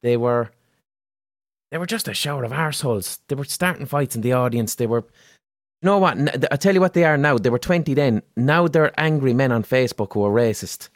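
A man's voice: medium pitch, expressive, fairly quick, no room sound, studio quality. A man from Ireland with a Limerick City accent, chatting bluntly and swearing as he tells a story. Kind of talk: casual chat.

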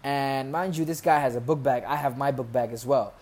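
A young American man, a podcast guest, casually telling animated stories with jokes and laughs. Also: medium pitch, brisk. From United States. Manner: animated, joking, laughs through his stories. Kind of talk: casual conversational storytelling.

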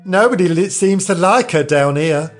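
A man's voice: fairly high pitch, fairly even intonation, measured pace, faint music behind, natural voice. A man voicing a lonely, melancholy young girl in a storybook reading. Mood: melancholy, wistful.

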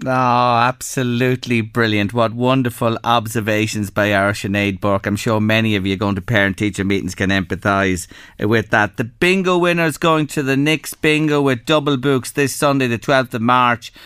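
Irish male presenter, rambling casual studio chat, smooth voice, dry sound. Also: mid-range voice, steady tone, moderate pace, few pauses, fairly bright tone, dry recording. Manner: chatty, rambling, repeats words. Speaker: radio presenter. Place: radio studio.

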